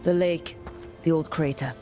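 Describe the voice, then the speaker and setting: A determined adventurer woman for a fantasy game. Her voice sits low, with a wry, matter-of-fact delivery. Music runs clearly beneath it. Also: fairly even intonation, measured pace, mellow. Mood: urgent, determined. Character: capable adventurer heroine, wry, determined young woman leader. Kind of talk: fantasy video game acting.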